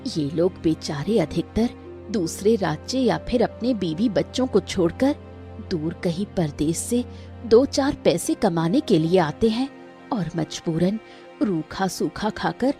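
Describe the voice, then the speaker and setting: A mellow, slightly low voice for a gentle, sympathetic poor village wife in a cartoon, acted by a woman. She speaks slowly and respectfully, with music underneath. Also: lively intonation. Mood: sympathetic, resigned. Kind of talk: acted Hindi cartoon dialogue.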